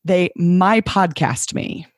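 Female podcast host, hoarse and low this week, candid and lively, dry close sound. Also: slow pace, no echo. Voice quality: low register. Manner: lively, candid, wraps the show with announcements. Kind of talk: podcast conversation.

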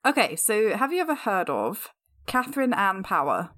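Croaky woman, chatty and laughing. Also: medium pitch, sing-song, moderate pace, a bit bright. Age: 30s. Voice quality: croaky, slightly sore throat. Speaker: podcast co-host. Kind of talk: casual chat.

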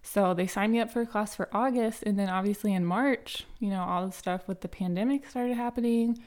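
A young woman with a slightly raspy voice hosts a relaxed solo podcast. She talks in a loose, rambling way, full of "um" and "like", and keeps coming back to restart her sentences. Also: medium pitch, fairly quick. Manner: chatty, many fillers and restarts, light laughs. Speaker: podcast host. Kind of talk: casual solo podcast storytelling.

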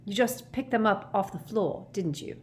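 A woman's voice: mid-range voice, lively intonation, normal speed, voice pushed up, lightly acted. A woman voicing a sensible, blunt teenage boy in a storybook reading, incredulous and impatient. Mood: exasperated. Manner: incredulous, blunt, exasperated questioning.

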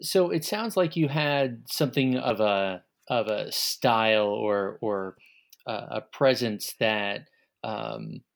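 A man's voice: lowish voice, sing-song, slow pace, vocal fry. A man conducting a conversational interview, feeling his way through questions and rephrasing them as he goes. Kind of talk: conversational oral history interviewing.

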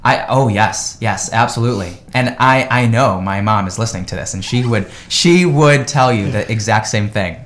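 A man's voice: lowish voice, normal speed, few pauses, fairly bright tone. Upbeat, enthusiastic man guesting on live radio. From United States. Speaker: guest, real estate agent.

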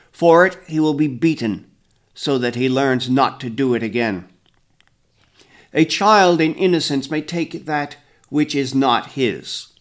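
A man voicing a stern old village elder passing judgment, booming and slow. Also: natural voice. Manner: booming, commanding, slow proclamations.

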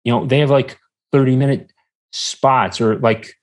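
Podcast guest: man, mid-range voice, hesitant, casual, conversational. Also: mid-range voice. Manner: hesitant, searching for words, halting.

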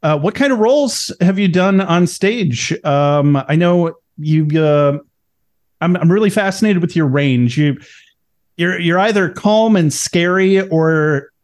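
A man hosting a podcast interview, asking hesitant questions full of ums and quick laughs. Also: high voice. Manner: hesitant, filler-heavy, laughs easily. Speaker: podcast host. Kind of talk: casual interview chat.

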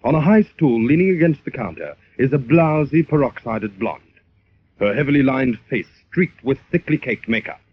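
A man's voice: mid-range voice, big pitch swings, normal speed, slight background noise. A man announcing and narrating a 1940s radio thriller in a scripted, dramatic style. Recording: muffled old radio recording. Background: music.

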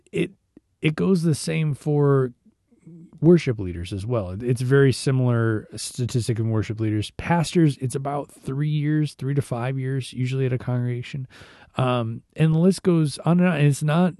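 A hoarse man, a church musician and host, giving an informal devotional talk in a conversational way with frequent restarts. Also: mid-range voice, warm tone, no room sound, clean studio sound. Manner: conversational, repeats and restarts words.

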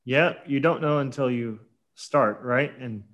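A man's voice: medium pitch, unhurried, muffled recording. A man hosting a podcast, chatting casually and agreeably, with a few "yeah"s and "uh"s. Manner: relaxed, agreeable, fills with "yeah" and "uh". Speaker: podcast host.

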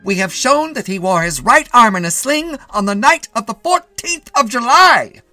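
Man acting a shouting, high-pitched defense attorney. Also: expressive, normal speed, no echo, soft background music, full character voice. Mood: indignant. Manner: shouting, declamatory. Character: combative, indignant male defense attorney in court.

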